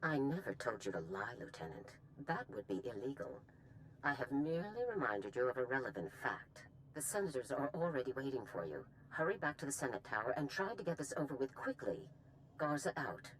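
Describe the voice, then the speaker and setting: A woman's voice: a bass voice, big pitch swings, fairly quick, slight background noise, rough recording. An actress playing a stern, calculating female general in a video game, clipped and authoritative. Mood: pointed, cool. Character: stern, calculating older female military general, politics-weary.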